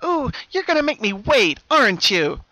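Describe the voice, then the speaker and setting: A man's voice: very high voice, very animated, normal speed, voice pushed up, full character voice. A man voicing a chipper, menacing cartoon pony girl who taunts playfully in a sing-song way. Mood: taunting, playful. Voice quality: bright, echoing call.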